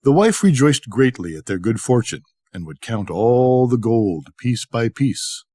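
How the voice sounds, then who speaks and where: An older man narrates a bedtime tale in measured, expressive storytelling. His pitch moves around a great deal, and the recording is close and dry. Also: dry recording. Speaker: storybook reader.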